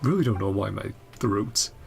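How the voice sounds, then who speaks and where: A woman's voice: rumbling low, lively intonation, normal speed, vocal fry. A woman streamer reading game dialogue aloud and murmuring short reactions between lines. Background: game music.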